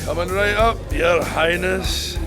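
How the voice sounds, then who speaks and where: A man's voice: high voice, takes it slowly, over music. An actor playing a surly, scornful pub barman in a radio comedy, curt and sneering. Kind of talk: British comedy radio play acting.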